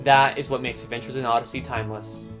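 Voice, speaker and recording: A man's voice: medium pitch, steady tone, fast. A man narrating a scripted personal story as a host. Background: plucked guitar music.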